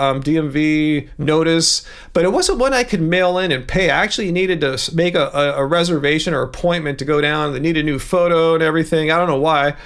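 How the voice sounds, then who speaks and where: Man, livestream host, chatty and rambling, reads viewer messages, gravelly voice. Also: on the high side, a bit bright. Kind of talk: casual livestream chat.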